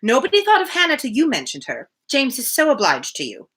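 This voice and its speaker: A woman voicing a cheerful young gentlewoman, patient and coaxing, in a storybook reading. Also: high voice, huge pitch swings, brisk, husky, pitched above the performer's own voice, exaggerated voice. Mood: patient reassuring. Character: cheerful, reassuring young gentlewoman soothing her father.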